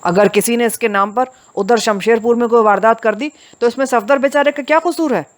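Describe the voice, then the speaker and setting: Anxious village man in an audio drama, loud and insistent, played by a woman. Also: a little high, a little fast, pitched above the performer's own voice, close to an everyday voice. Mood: protesting. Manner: protesting, raised voice.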